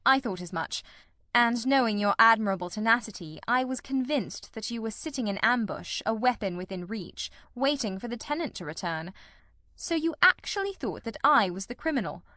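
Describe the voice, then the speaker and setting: A woman's voice: fairly high pitch, lively intonation, normal speed, bright, forceful tone, no room sound, studio quality. A woman voicing a keen, composed male detective in an audiobook, with a cold, incisive, precise and wryly ironical delivery. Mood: dry, deductive. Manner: ironical, precise, politely teasing. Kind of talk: audiobook character dialogue.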